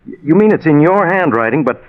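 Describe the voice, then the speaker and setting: Puzzled man playing a radio doctor, lively pitch. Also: brisk, close to an everyday voice. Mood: puzzled concern. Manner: stammering, puzzled. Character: concerned, puzzled male family doctor. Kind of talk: 1940s radio acting. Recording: dull lo-fi old radio recording.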